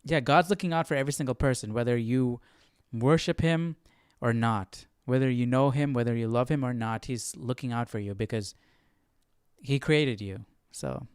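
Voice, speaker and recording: A man thinking aloud in casual, halting commentary as the host of a Bible study. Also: mid-range voice, clean tone, dry recording, studio quality.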